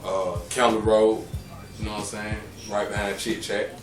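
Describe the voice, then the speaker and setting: A man in his thirties with a deep voice and an Atlanta accent, a podcast guest talking in a rambling, joking, profane way. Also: level tone, moderate pace. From Atlanta, Georgia. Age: 30s. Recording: echoey room, distant mic, music behind.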